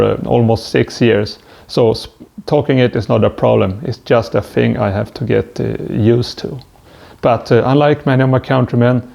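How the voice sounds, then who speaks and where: Swedish-accented man, gravelly and mellow. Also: medium pitch. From Sweden. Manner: hesitant, stumbling with frequent "uh" fillers. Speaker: vlogger. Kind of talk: self-help advice monologue.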